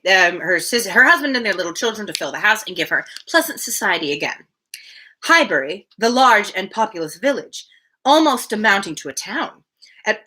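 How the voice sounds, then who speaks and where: A woman's voice: medium pitch, bright, forceful tone. A woman reading a novel aloud live, with casual asides. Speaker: livestream book reader.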